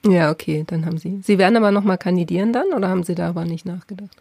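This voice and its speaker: A woman's voice: lowish voice, rapid-fire, creaky, dark tone, no echo, studio quality. A woman hosting a podcast, interviewing and addressing listeners in a conversational style.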